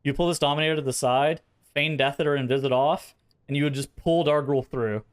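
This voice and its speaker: Casual, higher-voiced man doing gameplay commentary, voice tiring. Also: steady tone, fairly quick, clean tone. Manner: blunt, sweary, thinking aloud. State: voice tiring, sore throat. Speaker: streamer. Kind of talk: casual gameplay commentary, explaining dungeon strategy.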